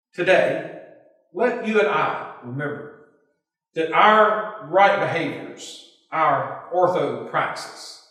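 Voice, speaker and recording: A man, a pastor, delivering a scripted devotional sermon in measured, emphatic phrases. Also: mid-range voice, a bit bright. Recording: very echoey hall, distant mic.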